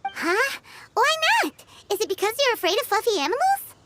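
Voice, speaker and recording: A woman voicing a tiny, cheerful fairy girl companion in a video game, with a squeaky childlike voice and chirpy, expressive delivery. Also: moderate pace, heavy background noise. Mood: excited, curious. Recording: loud children's game music behind. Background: playful tinkling music.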